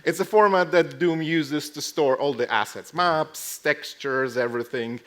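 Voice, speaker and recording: A man speaking casually at a conference as he gives a short tech talk, with dry, deadpan joking asides. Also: a little high, a little slow. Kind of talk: casual lightning tech talk with live demo. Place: live talk to a conference audience.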